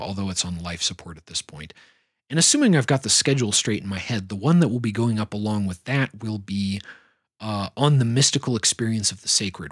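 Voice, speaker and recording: A man hosting a solo podcast, talking in a measured, thoughtful way. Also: on the low side, husky, no echo, clean studio sound. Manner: measured, thoughtful delivery with fillers. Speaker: podcast host. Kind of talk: reflective solo podcast talk.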